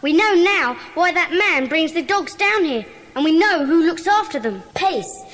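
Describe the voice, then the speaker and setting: An eager English schoolboy sleuth in an audio drama. The voice is high and very lively, excitable and anxious, ringing with reverb. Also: female voice, unhurried, full character voice. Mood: eager, concerned. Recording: echoey, reverberant, noisy recording.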